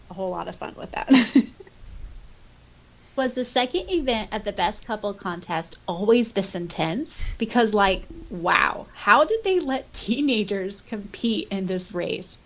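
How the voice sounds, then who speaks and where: Woman, medium pitch, podcast co-host, casual, giggly, enthusiastic, reading and reacting. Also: expressive, slow pace, fairly bright tone, poor audio. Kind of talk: casual chat, reading out and reacting to listener comments.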